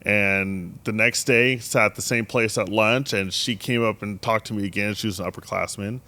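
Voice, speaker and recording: Young adult man, low voice, church creative director, upbeat anecdotal storyteller. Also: steady tone, moderate pace, sharp tone. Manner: enthusiastic storyteller, acts out quotes. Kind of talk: casual podcast chat.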